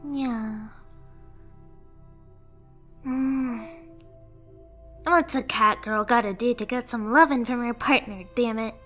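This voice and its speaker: Casting: female performer as anime yandere catgirl, clingy and jealous, high voice, very slow dramatic delivery. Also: a bit bright, soft background music. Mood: lonely, pouting. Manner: emotional, dramatic, at times dropping to a whisper. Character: yandere pet catgirl, lonely, clingy, jealous, unhinged lover. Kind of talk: anime ASMR roleplay acting.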